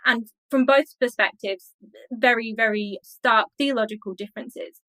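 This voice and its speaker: A woman speaking as an expert interview guest, explaining things in a measured, scholarly way. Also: fairly high pitch, bright, forceful tone, dry recording, poor audio.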